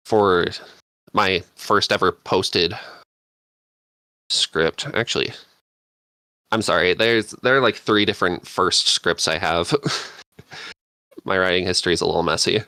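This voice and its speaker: A man with a fairly low but bright, forward voice tells stories casually as a podcast guest. He moves through his thoughts in halting, reflective phrases, and the sound is close and dry. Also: smooth, dry recording. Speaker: panel guest, script writer.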